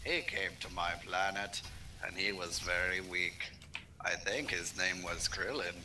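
A man voicing a gloating alien tyrant, speaking with contemptuous, menacing deadpan. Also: low-pitched, a little slow, noisy background.

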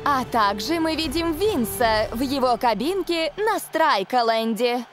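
A woman's voice: sits high, expressive, a little slow. An actress dubbing a dry female co-commentator in a football cartoon. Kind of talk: sports commentary. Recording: cartoon dub with loud music.

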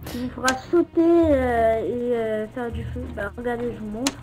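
A woman's voice: on the high side, steady tone, moderate pace, rough recording. A woman with a French accent chatting casually in character in an online roleplay game. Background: game music.

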